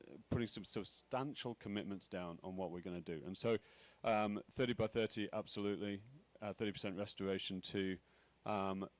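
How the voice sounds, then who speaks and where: A very deep-voiced man giving remarks at a conference panel. He talks in a halting way, with lots of "um"s and restarts as he works through his points. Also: fairly even intonation. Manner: halting, many fillers and restarts. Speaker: panel speaker. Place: conference pavilion.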